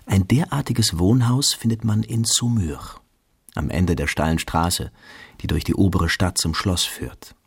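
A man's voice: deep voice, measured pace, vocal fry, slightly rough. A man narrating a literary radio drama in a storytelling role.

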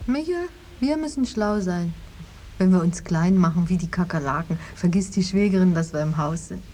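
An actress playing a poor, guarded young maid, plain and stoic, in the style of a 1950s radio drama. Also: female voice, lowish voice, normal speed, dark tone, a little hiss or hum, lightly acted. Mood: tender, stoic.

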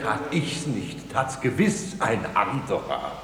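Ein Schauspieler spielt in einem komischen Hörspiel einen stolzen, polternden Familienvater und Helden aus dem alten Athen, theatralisch und pompös. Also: male voice, fairly low pitch, very animated, slow pace, a bit bright, heavily acted. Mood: mock modest. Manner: theatrical, pompous. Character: proud, blustering father, vintner hero of ancient Athens. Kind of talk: comic stage-style radio drama dialogue. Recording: hissy 1969 radio play recording.

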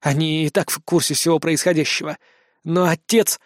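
A weary alien man centuries old, rough-spoken and resigned, kept close to the reader's own voice, as a man plays him. The audiobook recording is dry and close. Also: on the high side, fairly even intonation, normal speed, no echo, close to an everyday voice. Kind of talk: audiobook character voice.

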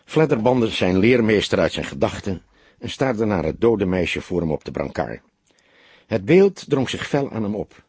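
A man's voice: lowish voice, very animated, moderate pace, darkish tone, slightly rough, dry recording. A man narrating a crime novel as an audiobook, reading scripted prose in a dramatic storytelling style.

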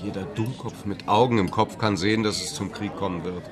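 A man's voice: fairly low pitch, brisk, over music, lightly acted. An actor playing an urbane, haughty male playwright in a German radio play, catty, sardonic and theatrical. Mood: dry amusement.

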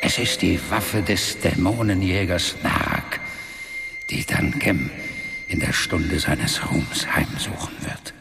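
A solemn keeper of magic lore, very low and unhurried. His grave, measured speech carries portent, set in a fantasy radio play with loud music. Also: vocal fry, exaggerated voice. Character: solemn mystical mentor of immense magical lore. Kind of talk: fantasy radio drama acting.

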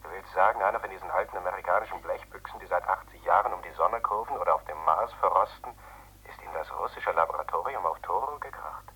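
A man's voice: sits low, fast, bright, forceful tone, slight background noise, poor audio. An actor playing a sardonic, worried astronaut who monitors his partner in a German science-fiction radio play, speaking in a dry, sceptical, pressing way. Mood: incredulous.

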